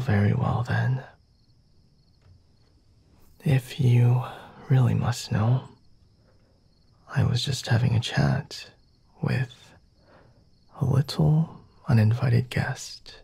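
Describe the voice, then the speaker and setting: Man acting as a teasing male vampire lord, very husky and breathy, gravelly creak, self-assured, formal, ASMR roleplay. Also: mid-range voice, no room sound, full character voice. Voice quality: breathy, husky, often dropping to a whisper. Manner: unhurried, formal, softly teasing, with sighs. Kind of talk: ASMR roleplay, intimate dramatic monologue.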